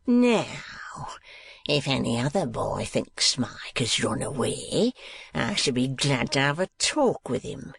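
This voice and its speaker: A man voicing a cruel, blustering middle-aged schoolmaster who sneers and bullies in a slow, menacing way. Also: medium pitch, sing-song, creaky, a bit bright, breathy edge, no room sound, full character voice. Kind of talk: audiobook character voice.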